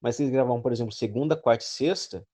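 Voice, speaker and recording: A man's voice: medium pitch, very fast, warm tone. A Brazilian man thinking aloud in a casual roundtable discussion. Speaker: panelist on a fan roundtable.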